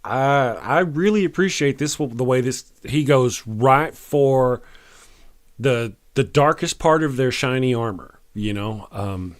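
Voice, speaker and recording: A Texan man talks earnestly into a close mic, plain-spoken, with restarts. His voice is mid-pitched and completely dry, with no room sound around it. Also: slow pace, dry recording. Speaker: live stream host. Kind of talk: casual commentary.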